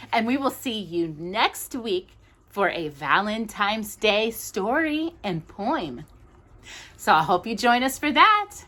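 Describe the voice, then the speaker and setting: A woman reading a children's storybook aloud as a storytime host, in an expressive, drawn-out, playful manner. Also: fairly high pitch. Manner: animated, drawn-out delivery with playful asides to a child.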